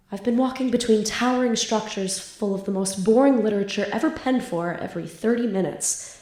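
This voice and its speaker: A woman's voice: mid-range voice, roomy sound, close to an everyday voice. A woman playing a flustered, lovestruck, bookish student in an audio drama, with grandiose theatrical diction. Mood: exasperated.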